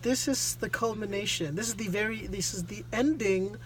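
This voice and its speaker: A co-host speaks casually in a middle-to-high register, with faint noise in the background. The delivery is questioning and conversational, pausing while reasoning through each point. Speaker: vlog co-host reviewing a film.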